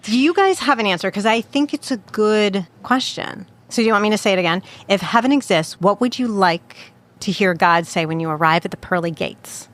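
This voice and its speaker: Woman in mid pitch, bubbly and gushing, hosting a casual interview podcast. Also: a bit bright. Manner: bubbly, gushing, quick to praise. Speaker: podcast host.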